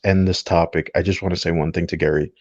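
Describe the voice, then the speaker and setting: A low-pitched man lays out his opinions as a podcast panelist in an explanatory, conversational way. The sound is close and dry, slightly dulled. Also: steady tone, fast talker, darkish tone, no room sound, muffled recording. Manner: explanatory, checks in with "right?". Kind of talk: casual opinionated discussion.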